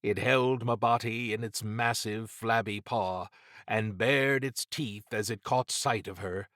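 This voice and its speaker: A man narrating horror fiction as an audiobook, with measured, dramatic storytelling. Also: on the low side, fairly even intonation, fairly bright tone, no echo, clean studio sound.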